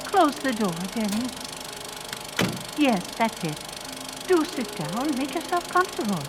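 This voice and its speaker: A woman's voice: a little high, sing-song, takes it slowly. A woman playing an imperious, sugary movie star in radio melodrama, recorded distantly under music. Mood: grandly gracious. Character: imperious female movie star, grandly sugary, easily alarmed. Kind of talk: old-time radio melodrama acting.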